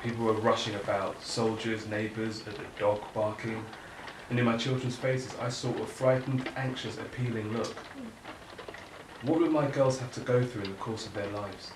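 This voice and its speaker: A man's voice: fairly low pitch, fairly even intonation, normal speed, natural voice. An actor playing an earnest, reflective father facing climate upheaval in a naturalistic audio drama. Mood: anxious. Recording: roomy, distant, noisy room recording.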